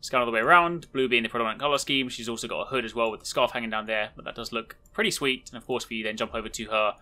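A man's voice: medium pitch, racing, sharp tone. A man giving casual video game commentary as the host of a showcase video.

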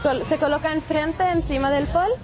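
A woman's voice: high voice, fairly even intonation, moderate pace. A woman from Italy, a pole dance teacher, giving short step-by-step instructions in a live TV studio. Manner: brief step-by-step coaching cues. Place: large TV studio, indoors. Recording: muffled broadcast sound, loud music, crowd.

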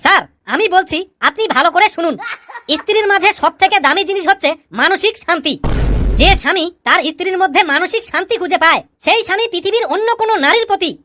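A man playing an argumentative, know-it-all cartoon schoolboy with a pitched-up voice. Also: fast, background noise. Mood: defiant. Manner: argumentative, preachy. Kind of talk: comic cartoon dialogue.

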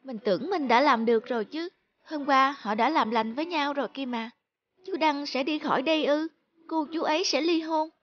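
A woman's voice: high voice, moderate pace, dull audio, lightly acted. A woman voicing a small, earnest angel girl in a cartoon, childlike and polite, speaking lines. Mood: worried. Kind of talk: animated fairy-tale dubbing.

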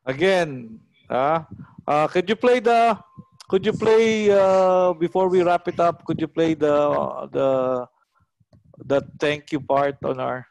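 A middle-aged man with a Filipino accent, hosting casually on a video call in a halting, repetitive way. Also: fairly high pitch, gravelly, a little mellow, poor audio. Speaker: online auction host. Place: small room at home, indoors. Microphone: headset on a video call.

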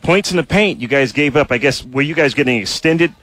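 A man who is a radio analyst, asking rambling, halting questions with many restarts. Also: on the high side, a bit bright. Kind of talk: postgame radio interview.

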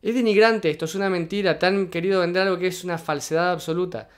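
Indignant high-voiced Argentine man. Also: steady tone, quick pace, clean tone. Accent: Argentine Spanish. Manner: indignant, emphatic, repeating points. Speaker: political commentator. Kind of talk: reaction video commentary.

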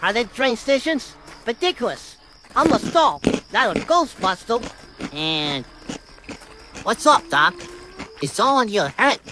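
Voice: mid-range voice, very animated, takes it slowly, long pauses, noisy background. An AI-cloned voice playing a grumpy cartoon rabbit in a fan-made cartoon audio story, with a dismissive, wisecracking manner. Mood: grumbling.

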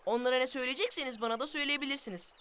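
An actress voicing an eager, curious young child in a children's cartoon. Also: female voice, sits high, very fast, a bit bright, a little hiss or hum. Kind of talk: animated children's series dubbing.

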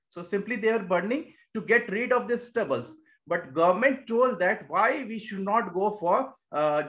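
A male teacher with an Indian English accent narrates a slide lecture in a fairly high voice. He explains each point patiently, posing a question and then answering it himself. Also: a bit bright, low-quality recording. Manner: explanatory, repeats key terms, asks and answers own questions. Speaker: exam coaching teacher. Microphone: voice-over on annotated slides.

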